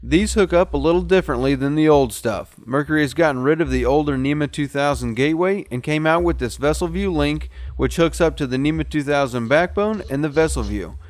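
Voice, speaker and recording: A man's voice: a little high, a little fast, hardly any pauses, dry recording, faint music behind. A man narrating a step-by-step boat repair how-to as the show's technician host. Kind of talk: explanatory how-to voice-over narration.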